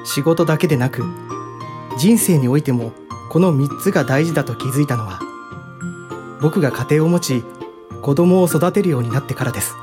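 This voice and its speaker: A man's voice: fairly high pitch, animated, brisk, music in the background, lightly acted. An actor playing an earnest, polite young man in a radio drama, speaking sincerely and respectfully. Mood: sincere, grateful. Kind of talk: Japanese radio drama acting.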